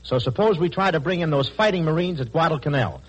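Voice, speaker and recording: A man hosts live 1940s radio at a brisk clip. His voice sits fairly high. The vintage recording is dull and slightly noisy. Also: lively intonation, slightly rough. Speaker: radio show host in the studio.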